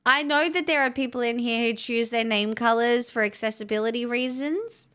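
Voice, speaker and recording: A woman's voice: on the high side, normal speed, fairly bright tone, clear, resonant tone. A woman hosting a livestream, chatting casually with viewers in a playful, teasing way. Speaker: livestream host.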